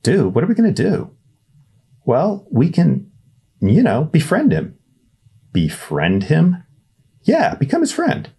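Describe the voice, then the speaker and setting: A man's voice: a little high, huge pitch swings, slow, long pauses, mellow, breathy edge, voice pushed up, exaggerated voice. A man voicing a timid but eager schoolboy who speaks in an earnest, quivering way.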